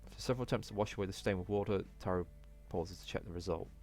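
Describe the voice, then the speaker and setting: Low-voiced man reading a visual novel aloud. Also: racing, darkish tone, soft background music. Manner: stumbling over read text, blunt indignant asides. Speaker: let's play host.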